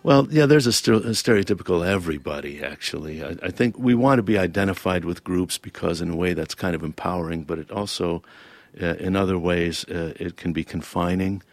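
Deep gravelly man. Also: fairly quick, no room sound. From Lebanon-born, raised in Toledo, Ohio. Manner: thoughtful, wry and self-questioning. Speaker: author and interview guest. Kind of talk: reading a personal essay, then reflective interview answers.

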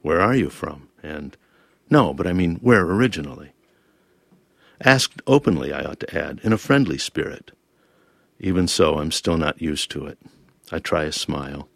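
A very deep-voiced man with a gravelly rasp, reading his own essay and then talking it through in interview. He is thoughtful and wry, and the sound is close and dry. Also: lively intonation, moderate pace, long pauses, no echo. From Lebanon-born, raised in Toledo, Ohio. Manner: thoughtful, wry and self-questioning. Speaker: author and interview guest.

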